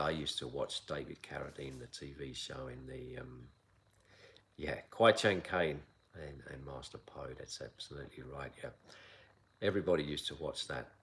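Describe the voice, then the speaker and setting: Very deep-voiced calm older Englishman. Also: creaky. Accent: English accent softened by long years in Asia. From south London, England. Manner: calm, measured, unhurried with frequent pauses. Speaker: kung fu teacher. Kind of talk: live-stream chat answering viewer questions. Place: outdoor mountain training area.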